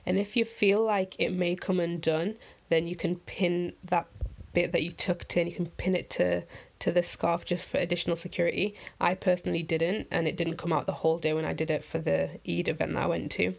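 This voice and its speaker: A woman vlogger with a croaky morning voice, talking through a head-wrap tutorial in a chatty, rambling, upbeat voiceover. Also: fairly low pitch, little pitch movement, a little fast. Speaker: fashion vlogger. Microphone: voiceover mic.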